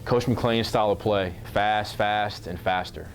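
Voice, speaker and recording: A man in his thirties, a basketball coach, speaking in a measured, earnest way in an informal interview. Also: lowish voice, a little hiss or hum. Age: 30s.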